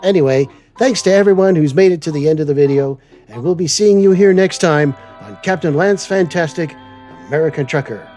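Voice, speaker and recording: A man's voice: on the high side, a little fast, a little mellow, faint music behind. A man hosting a semi-scripted trucking show, signing off in a playful, folksy way. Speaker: host.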